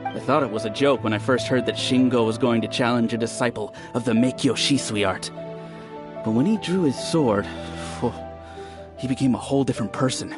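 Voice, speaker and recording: An actor playing a chatty male villager who tells stories in an animated way in a video game. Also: mid-range voice, fairly quick, close to an everyday voice. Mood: gossipy. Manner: storytelling, animated. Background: game music.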